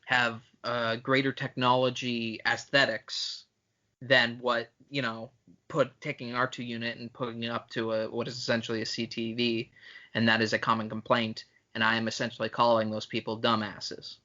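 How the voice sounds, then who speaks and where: Bright, forceful man on a podcast. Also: medium pitch, level tone, moderate pace, highs cut off. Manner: opinionated, sweary, mock-grandiose at times. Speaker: podcast co-host. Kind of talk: casual film-fan banter and ranting.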